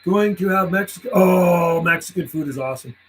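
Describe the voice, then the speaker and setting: A man's voice: sits high, brisk, fairly bright tone. A man from western Canada chatting live on CB radio, laughing often and rattling off call signs and radio jargon. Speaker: CB radio operator streaming live. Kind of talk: casual on-air radio chat.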